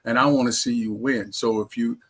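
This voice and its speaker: A man with a creaky, husky voice talks as an interview guest. His delivery is casual and conversational, like someone telling his own story at mid pitch. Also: fairly even intonation, a little fast, a bit bright.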